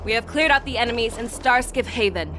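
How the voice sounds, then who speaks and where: Woman playing a high-voiced, resolute young general. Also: a little fast, background noise, lightly acted. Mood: determined. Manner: firm, earnest, commanding. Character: resolute young female general, commanding and noble. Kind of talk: video game voice acting. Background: game music.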